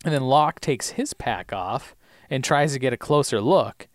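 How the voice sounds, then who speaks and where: A man's voice: mid-range voice, animated, measured pace, no room sound, studio quality. A man co-hosting a podcast, recapping scenes in a casual, chatty way, laughing often and saying his voice is going. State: losing his voice. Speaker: podcast co-host.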